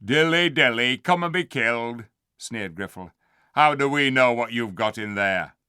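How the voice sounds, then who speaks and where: A man's voice: mid-range voice, a little slow, sharp tone, smooth, dry recording, studio quality. A man voicing a cynical, scornful male dwarf chief who mocks the ape. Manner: sneering, mocking.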